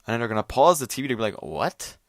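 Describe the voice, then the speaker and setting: A man's voice: mid-range voice, sing-song, quick pace, fairly bright tone, no room sound, clean studio sound. A young man from Quebec hosting his own podcast, ranting casually with lots of swearing, fillers and restarts. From Quebec, Canada. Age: young adult. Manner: profane, rambling, filler-heavy. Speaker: podcast host. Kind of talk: casual solo rant podcast.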